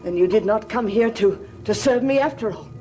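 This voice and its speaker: An actress playing a sinister, scheming servant in a gothic soap, speaking in a theatrical, deliberate, taunting way. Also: female voice, mid-range voice, background noise, lightly acted. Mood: challenging. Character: sinister, scheming female servant, defiant occult summoner. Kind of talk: 1960s-70s gothic TV soap acting. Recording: old TV soundtrack with music underneath.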